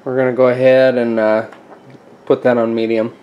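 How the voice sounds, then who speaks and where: A man's voice: mid-range voice, little pitch movement, moderate pace, muffled recording. A man casually narrating his meal prep at home, explaining each step as he cooks at the stove. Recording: echoey room with clear kitchen noise. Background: sizzling pan and stirring.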